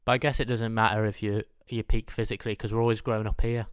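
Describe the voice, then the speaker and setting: A man's voice: fairly low pitch, flat delivery, quick pace, clear, resonant tone, no room sound, studio quality. A man in his mid twenties with a British accent, giving a rambling, dryly mock-earnest comic monologue. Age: mid 20s. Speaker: comedian, host of own show. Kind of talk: comedic monologue.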